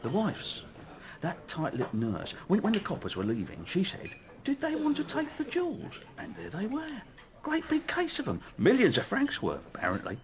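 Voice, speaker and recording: A wildly animated, gossipy waiter. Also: male voice, sits high, moderate pace. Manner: chatty, insinuating, tag questions. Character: gossipy, opinionated male café waiter, chummy and cynical. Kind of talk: radio drama character acting.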